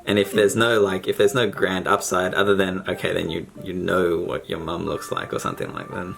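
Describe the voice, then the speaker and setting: A man's voice: low-pitched, little pitch movement, brisk, vocal fry, fairly bright tone, soft background music. A man chatting with a stranger on a video call, reflective and hesitant, with many fillers. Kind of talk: casual conversation.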